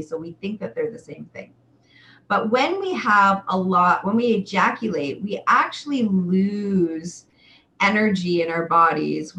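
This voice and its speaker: A woman in her forties hosting a chatty live webcast, talking to viewers in an exuberant, theatrical way with drawn-out emphatic words. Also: medium pitch, pushed and bright, low-quality recording. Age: 40s. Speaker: livestream show host and sexual wellness coach.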